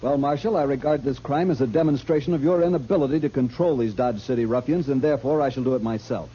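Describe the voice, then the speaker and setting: An actor playing a haughty, demanding army major with a husky voice and clipped manner in a radio western. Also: male voice, mid-range voice, fast, dark tone, natural voice. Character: stiff, haughty army major, new to frontier. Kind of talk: 1950s radio western acting. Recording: dull vintage radio recording.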